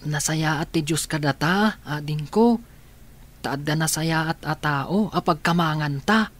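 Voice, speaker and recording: An actor voicing a gentle, protective older brother in a radio drama. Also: male voice, a little high, measured pace.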